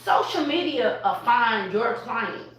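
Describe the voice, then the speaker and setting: A woman talks up her barbershop with lively, emphatic energy and frequent laughs. She sits a way back from the mic, and the room around her rings with heavy echo. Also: a little high, slow. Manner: animated, emphatic, laughs often. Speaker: barbershop owner. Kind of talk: live panel talk. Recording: echoey room from far off.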